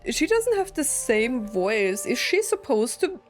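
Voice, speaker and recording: A woman's voice: high voice, big pitch swings, a little slow. A woman streamer giving casual, chatty, giggly commentary as she plays a story game. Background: game music.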